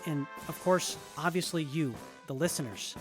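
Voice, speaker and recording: A man's voice: a little high, normal speed, music in the background, lightly acted. A man playing earnest wasteland radio guy Johnny. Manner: casual, earnest, lots of fillers. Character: earnest young male wasteland radio survivor. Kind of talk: in-character audio drama farewell.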